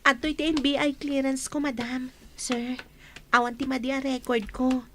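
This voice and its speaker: An actress voicing a young woman from the countryside asking for maid work, polite and deferential. Her voice is high. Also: level tone, moderate pace, fairly bright tone, slight background noise. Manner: deferential, says sir often. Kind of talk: radio drama acting.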